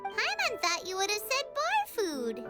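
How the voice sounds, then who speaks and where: A tiny fairy girl chirps in an extremely high, childlike voice with swooping, animated intonation. She is an excitable video game companion, heard over prominent music. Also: measured pace, exaggerated voice. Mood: cheeky. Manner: speaks of self in third person.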